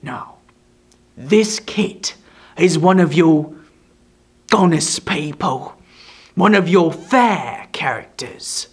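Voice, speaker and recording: A man's voice: on the high side, lively intonation, drawn-out words, pauses often, breathy edge, heavily acted. A man voicing a spiteful, scheming dwarf who sneers and hisses his words with contempt. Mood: sneering.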